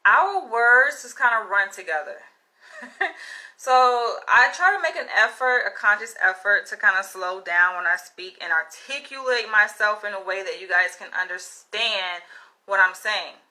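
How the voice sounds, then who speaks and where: A woman's voice: medium pitch, unhurried, pushed and bright, poor audio. A young woman with a Southern American accent, chatting casually to her viewers and calling them "you guys" again and again. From Southern United States. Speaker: vlogger. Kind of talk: casual direct-to-camera chat.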